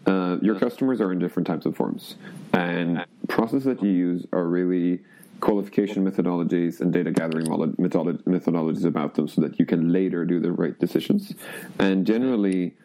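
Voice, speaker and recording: A man interviewing a guest, asking questions in a relaxed, plain way. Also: low voice, fast talker.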